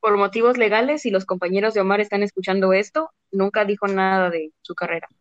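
A woman's voice: medium pitch, fairly even intonation, fast talker. A young adult woman, chatting casually as a podcast co-host. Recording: dry, dull lo-fi audio.

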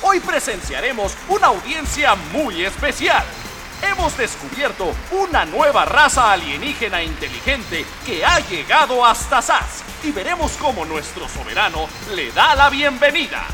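A bombastic showman announces for an alien emperor's court in a radio drama. His very high voice swoops theatrically, with loud music around him. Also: very animated. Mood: grandiose hype. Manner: drawn-out, grandiose delivery. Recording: music and crowd behind the voice.

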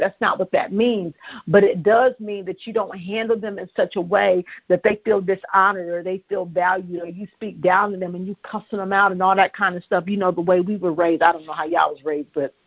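A woman's voice: fairly low pitch, dry recording, lo-fi sound. A woman pastor, preaching to callers and calling for responses on a live prayer line. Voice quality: strong voice. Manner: preachy call-and-response with the listeners. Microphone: phone line.

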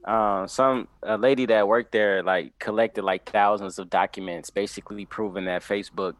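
A man's voice: lowish voice, fairly even intonation, normal speed. A man in his mid twenties explaining things casually as a podcast co-host, slangy and often checking that listeners follow. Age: mid 20s.

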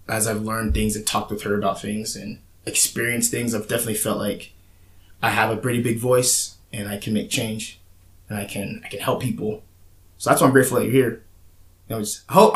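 A young man hosting a podcast, speaking candidly in a casual, rambling way with frequent restarts and fillers. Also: medium pitch. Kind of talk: casual conversation. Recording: roomy echoey room.

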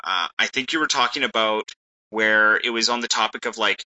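A man's voice: fairly low pitch, brisk, pushed and bright, clear, resonant tone, muffled recording. A man co-hosting a podcast in casual banter, teasing his friend and talking with many "like" fillers. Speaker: podcast co-host.

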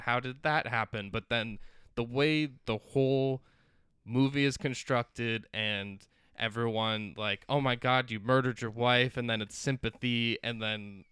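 Man with a bright, smooth voice, rambling. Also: medium pitch, no room sound, studio quality. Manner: rambling, many likes and restarts. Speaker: podcast co-host. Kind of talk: casual chat.

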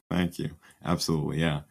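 A young man hosting a casual podcast interview, relaxed and quick to laugh. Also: rumbling low, a little hiss or hum. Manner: relaxed, laughs often, easygoing. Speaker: podcast host.

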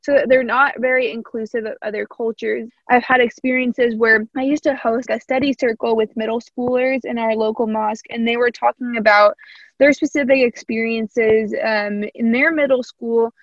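A woman's voice: on the high side, steady tone, normal speed, no room sound, dull audio. A young woman from Indiana with a slight Southern American accent, hosting a casual interview in a thoughtful, rambling way. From Indiana, USA.

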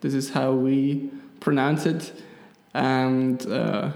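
Slow, gravelly Swiss man in an echoey room. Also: medium pitch, fairly even intonation, darkish tone. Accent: Swiss German. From Switzerland. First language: Swiss German. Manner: hesitant, many fillers and restarts, laughs easily. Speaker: guest, app developer. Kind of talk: casual interview chat. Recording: echoey room with long reverb.

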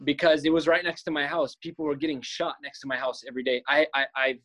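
A man's voice: fairly high pitch, little pitch movement, fast, sharp tone. Man, young adult, from Palestine, earnest, repeats and restarts words. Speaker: filmmaker guest. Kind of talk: podcast interview storytelling.

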